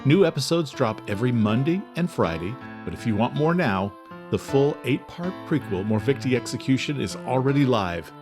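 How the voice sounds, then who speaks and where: A man reading scripted thriller narration in a measured, deliberate, dramatic storytelling style. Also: mid-range voice, animated, over music. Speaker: author and audiobook narrator.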